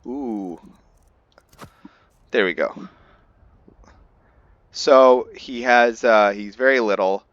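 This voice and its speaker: A man hosting a gaming video, giving casual, halting commentary in an informal, rambling way. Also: medium pitch, a bit bright, dry recording, dull audio. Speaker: gaming channel host. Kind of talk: casual gameplay commentary introducing a roleplay character.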